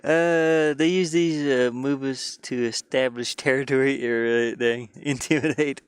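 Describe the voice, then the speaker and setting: A man chatting casually like a streamer, thinking aloud in a halting, rambling way. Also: medium pitch, steady tone, clean tone, no room sound. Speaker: streamer and hobby game designer. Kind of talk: casual rambling livestream chat.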